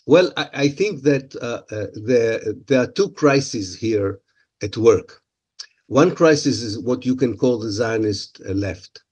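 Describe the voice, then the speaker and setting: A man's voice: mid-range voice, animated, slow, a little mellow, husky. A man whose first language is Hebrew, from Israel, answering interview questions with many filler sounds and restarts.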